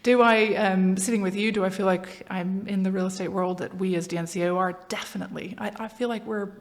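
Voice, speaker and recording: A woman's voice: medium pitch, a little fast. A woman in her forties with an American accent, talking animatedly as a podcast guest. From Brazil. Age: 40s. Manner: animated, self-deprecating, many restarts. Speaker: podcast guest, agency founder. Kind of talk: casual interview chat.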